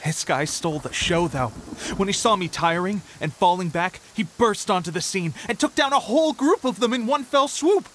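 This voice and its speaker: An actor playing an eager, boastful young soldier in a video game, earnest and full of bravado. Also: male voice, high voice, animated, normal speed, fairly bright tone. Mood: proud, excited. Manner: enthusiastic, storytelling bravado. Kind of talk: video game character dialogue.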